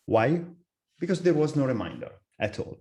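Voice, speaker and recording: A middle-aged man with an Italian accent, presenting a live software webinar with frequent fillers and restarts. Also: medium pitch, big pitch swings, normal speed. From Italy. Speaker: software architect, webinar speaker.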